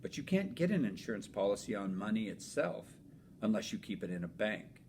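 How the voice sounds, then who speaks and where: Man voicing a storybook dad, patient, reasonable, upbeat explaining, light background noise and rumble. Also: big pitch swings, brisk, darkish tone. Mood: reasoning. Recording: noisy with low rumble.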